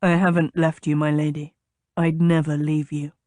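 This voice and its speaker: A woman reading as a stern, deep-voiced captain. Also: level tone, moderate pace, mellow, no room sound, lightly acted. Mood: dry. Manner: clipped, dry, formal.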